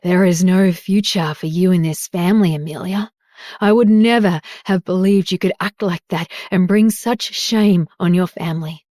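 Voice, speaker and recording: A woman voicing an enraged middle-aged father who shouts with contempt. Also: lowish voice, normal speed, dry recording, clean studio sound, lightly acted. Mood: furious.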